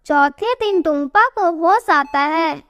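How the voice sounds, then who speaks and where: A female narrator with a clearly high voice. She reads a scripted cartoon moral tale as a storyteller. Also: takes it slowly, a bit bright, no room sound.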